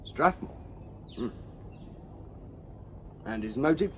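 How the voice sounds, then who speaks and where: An actor playing a shrewd, dry-witted Victorian police sergeant in a radio drama. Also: male voice, mid-range voice, expressive, takes it slowly, a little mellow, a little hiss or hum. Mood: wry. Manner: dry, wry questioning. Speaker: detective sergeant.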